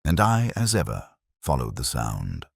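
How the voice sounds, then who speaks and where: A man voicing an ageing, melancholy detective, narrating a literary radio drama in a measured, reflective, solemn manner. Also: extremely low pitch, huge pitch swings, gravelly, slightly rough, no room sound, studio quality, exaggerated voice. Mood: brooding, reflective. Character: ageing, melancholy male detective, wry and brooding.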